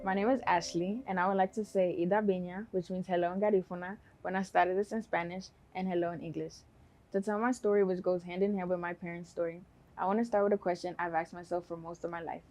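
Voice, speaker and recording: Young American woman, measured earnest speaker, lowish flat delivery. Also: low-quality recording. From United States. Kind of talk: prepared personal speech.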